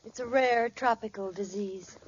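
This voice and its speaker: An actress playing a scheming, melodramatic housewife in a 1940s radio sitcom, theatrical and plaintive, on an old recording. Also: female voice, a little high, normal speed, lightly acted. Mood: feigned weakness. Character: scheming, melodramatic housewife feigning a dramatic illness. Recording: muffled, very noisy old radio recording, audience.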